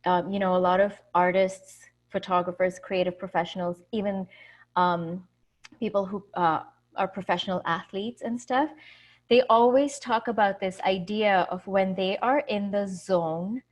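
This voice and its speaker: A woman's voice: mid-range voice, steady tone, normal speed, dull audio. A woman from India in her thirties, a yoga teacher talking casually over a video call, laughing easily between fillers.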